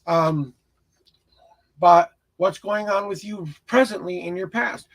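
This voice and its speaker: A man with a crackly, raspy voice giving an earnest, encouraging and rambling tarot reading as a live stream host. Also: high-pitched, slow pace. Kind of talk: spiritual tarot reading, casual guidance to a viewer.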